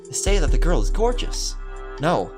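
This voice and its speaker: An eager schoolboy from a visual novel, voiced by a man, pushing his pitch up with emphatic, self-important delivery. The intonation swings about freely, and music plays behind. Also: sing-song, normal speed. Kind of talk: visual novel dialogue read aloud. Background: game music.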